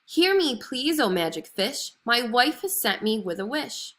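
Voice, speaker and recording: A woman's voice: on the high side, sing-song, unhurried, fairly bright tone, pitched above the performer's own voice. A woman voicing a meek, anxious fisherman husband in a fairy tale, timid and hesitant. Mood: pleading call.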